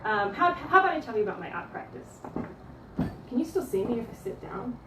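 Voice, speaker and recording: A woman from New Zealand, an art teacher, chatting casually through a livestream tour of her studio, often pausing with ums and restarting her sentences. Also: a little high, very animated, background noise. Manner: hesitant, many ums and restarts. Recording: echoey room, voice from a distance.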